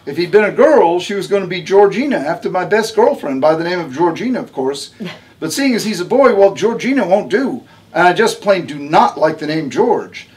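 A man voicing a bold, earthy woman in labor, a mother of many children, who talks in a loud, bossy, folksy drawl. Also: high voice, expressive, a little fast, voice pushed up. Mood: chatty.

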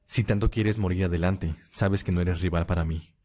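A man acts out a cold vampire watchman in an audio roleplay. His voice sits low and dark, with curt, scornful delivery and a quiet menace. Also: steady tone, moderate pace. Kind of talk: Spanish-language audio roleplay acting.

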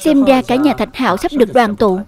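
A woman reading a scripted voice-over dub for an animated series. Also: fairly high pitch, a little fast, crowd noise.

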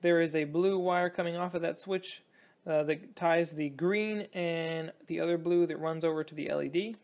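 A young man giving a casual hands-on demonstration as a hobbyist, talking in a halting, think-aloud way. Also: a little high, steady tone, a little mellow. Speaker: hobbyist presenter. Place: indoors at a tabletop.

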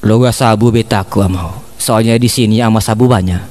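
A young man with an Eastern Indonesian accent doing an animated, talkative comic monologue, mimicking ways of speaking, close on the microphone. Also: lowish voice, brisk. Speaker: comic performer. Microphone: large condenser microphone on a stand, close.

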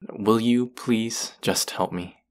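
A man's voice: fairly low pitch, slow. A young man acting as an earnest, wry young vampire in an intimate audio roleplay drama. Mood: earnest pleading.

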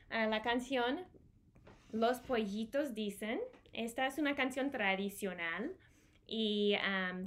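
Slow, higher-pitched woman reading to children. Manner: expressive, explaining and repeating key words for children. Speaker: children's storytime presenter. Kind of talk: bilingual picture-book read-aloud for young children. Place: small home room with bookshelves, indoors.

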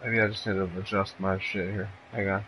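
A man's voice: on the low side, flat delivery, brisk, sharp tone, slight background noise, rough recording. A man co-hosting a gaming show, talking casually in laid-back slang as he plays. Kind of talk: casual chat while playing a video game.

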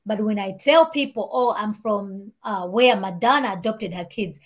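Malawian woman, 30s, animated interview guest, video call from home. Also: mid-range voice, normal speed. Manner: animated, talks with her hands. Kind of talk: casual interview chat. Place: home room with shelves and warm lamp. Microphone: video call, earbuds.

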